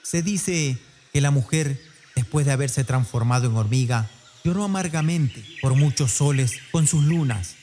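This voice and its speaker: A man's voice: mid-range voice, measured pace, mellow, a little hiss or hum. A man narrating a radio play myth in the manner of a storyteller. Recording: music bed behind the voice. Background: music.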